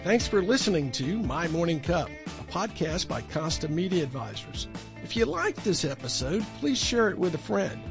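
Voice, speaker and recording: A man's voice: fairly high pitch, sing-song, normal speed, over music. A man hosting a podcast in a friendly, casual interview style, often repeating words as he starts a sentence. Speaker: podcast host.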